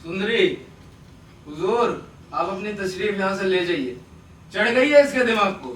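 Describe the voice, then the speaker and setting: Flattering drunk hanger-on played by a man, very high, bright voice, excitable, echo-heavy stage play. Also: a little slow, a little hiss or hum. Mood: placating. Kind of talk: Hindi stage play acting. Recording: very echoey, distant stage recording.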